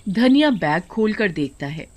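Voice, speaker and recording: A woman's voice: medium pitch, very animated, unhurried, darkish tone. A woman giving scripted storybook narration for a cartoon.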